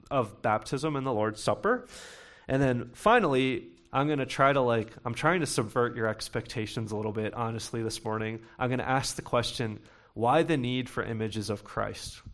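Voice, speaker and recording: A man's voice: medium pitch, a bit bright. Young male pastor preaching with bursts of emphasis. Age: young adult. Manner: conversational preaching with bursts of emphasis, reading scripture slowly. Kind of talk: sermon.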